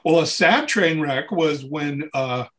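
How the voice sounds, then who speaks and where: A man's voice: a little high, slow pace, fairly bright tone. A man telling casual anecdotes as an interview guest, acting out bits of dialogue and repeating phrases for emphasis in an informal way. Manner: acts out dialogue and sounds while telling stories. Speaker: interview guest, touring keyboard player.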